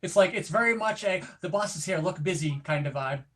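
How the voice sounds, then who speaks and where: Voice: medium pitch, brisk, a bit bright. A game master running an online tabletop game, improvising scene narration and talking through rules in a casual, conversational way.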